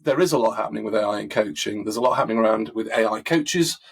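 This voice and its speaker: Husky-voiced man talking through coaching. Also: lowish voice, fast talker. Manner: thoughtful, many fillers and restarts. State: husky from a recovering voice. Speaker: coaching expert guest. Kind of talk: conversational interview answers.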